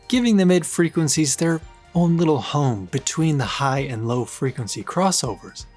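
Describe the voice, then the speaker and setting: Playful male reviewer over background music. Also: fairly high pitch. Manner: playful, colloquial asides. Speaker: audio gear reviewer. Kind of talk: scripted product review narration. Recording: music bed under the voice.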